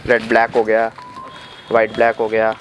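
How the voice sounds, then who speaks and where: Flat-voiced man in his thirties listing stock. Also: fairly low pitch, moderate pace, background noise. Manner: matter-of-fact, listing products. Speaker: wholesale mat supplier. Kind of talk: product walkthrough. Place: indoor warehouse.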